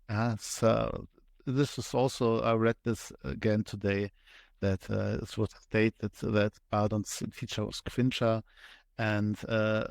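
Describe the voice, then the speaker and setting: A man with a German accent, a podcast guest explaining things step by step with frequent thoughtful pauses. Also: fairly low pitch, no room sound. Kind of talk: conversational interview explanation.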